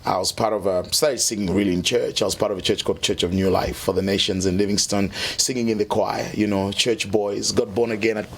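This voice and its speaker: A middle-aged man from Zambia, a musician guest telling his story in a relaxed interview, often saying "you know". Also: lowish voice, brisk, gravelly, hardly any pauses. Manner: easygoing, peppers speech with "you know".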